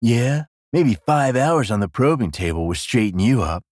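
A man's voice: mid-range voice, expressive, moderate pace, darkish tone, no echo, natural voice. Android king, male narrator acting: slangy, bossy, dim, cocky; comic audiobook, close dry sound. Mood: casual. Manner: slangy, bossy, laid-back.

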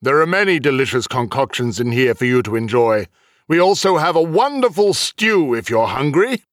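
A man voicing a polite, genial otherworldly host in a storybook, courteous, measured and gently apologetic. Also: medium pitch, big pitch swings, no room sound, clean studio sound.

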